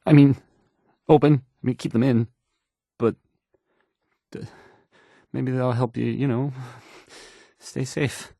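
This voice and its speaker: A man's voice: mid-range voice, steady tone, slow, vocal fry, pauses often, warm tone, no echo, studio quality. An actor playing a nervous, awkward young male radio DJ in a game, stammering and hesitating through the news, rambling and unsure of himself. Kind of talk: fumbled radio news report in a video game.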